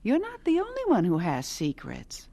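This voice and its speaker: An actress playing a kindly, eager married lady who paints as a hobby, gentle and encouraging, in a radio drama. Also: mid-range voice, huge pitch swings, normal speed, vocal fry, full character voice. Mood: playful, confiding.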